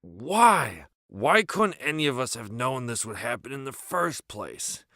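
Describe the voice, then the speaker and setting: A man's voice: mid-range voice, big pitch swings, a little slow, sharp tone, no room sound, studio quality, heavily acted. A man playing a sarcastic, reluctant teenage adventurer in an amateur script read, exasperated and argumentative. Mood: bitter, strained. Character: grumbling, sarcastic, reluctant male teen adventurer.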